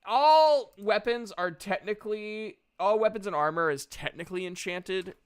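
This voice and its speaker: A man's voice: sits high, moderate pace, clean tone. A man running a tabletop game as game master, talking in a casual, easygoing, sweary way.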